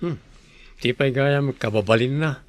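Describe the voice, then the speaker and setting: A man's voice: medium pitch, a little slow, close to an everyday voice. A man playing an earnest, devoted young village man in an Ilocano comedy radio drama, with an Ilocano Filipino accent. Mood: affectionate. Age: young adult.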